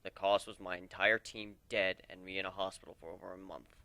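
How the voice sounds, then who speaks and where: Man as a guarded lone-wolf fighter, smooth and dry. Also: low-pitched, little pitch movement, fairly quick, bright, forceful tone, no room sound, pitched below the performer's own voice, exaggerated voice. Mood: grave. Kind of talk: dramatic reading of fan fiction.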